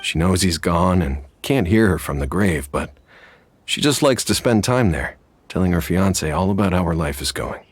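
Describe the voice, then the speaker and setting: An actor playing a sympathetic townsman in a game, talking gently and sighing over someone's sad story. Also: low voice, animated, normal speed, husky. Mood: sorrowful. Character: sympathetic older townsman at a graveyard.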